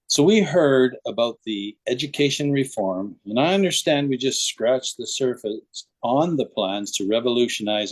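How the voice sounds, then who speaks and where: A man's voice: medium pitch, rough recording. A man hosting a podcast, introducing the discussion and interviewing a guest. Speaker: podcast host.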